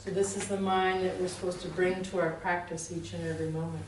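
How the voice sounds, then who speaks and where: A woman's voice: fairly low pitch, little pitch movement, quick pace, a little hiss or hum. A woman spiritual teacher giving an informal, conversational dharma talk to a group, often laughing at herself. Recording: echoey room, voice from far off.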